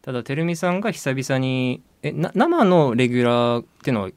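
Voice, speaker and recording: A male radio co-host who talks quickly with plenty of movement in his pitch. His chat is casual yet polite and deferential, recorded dry in a studio. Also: very animated, clean tone, no echo.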